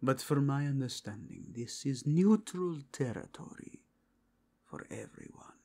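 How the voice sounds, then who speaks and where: A learned, inquisitive cardinal in a fantasy audio drama. His mixed foreign accent wanders, and his breathy voice swoops widely in pitch. He speaks slowly and formally, probing politely with theatrical pauses. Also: exaggerated voice. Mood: measured, reassuring. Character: courteous, inquisitive male cardinal of an inquisition, learned and self-assured. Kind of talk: fantasy audio roleplay acting.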